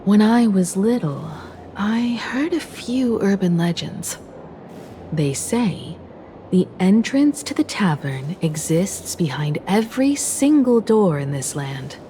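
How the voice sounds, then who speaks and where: A woman voicing a composed, wistful young woman in a game story, talking in a measured, reflective way. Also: medium pitch, animated, mellow, slight background noise. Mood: musing. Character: composed, wistful young woman, former scrappy martial artist student. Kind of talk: scripted game story dialogue.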